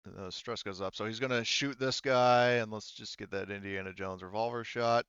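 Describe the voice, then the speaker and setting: A man speaking casually and haltingly, with some swearing, as game master of an online tabletop roleplaying game. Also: on the low side, fairly even intonation, fairly bright tone, clear, resonant tone, dry recording, lo-fi sound.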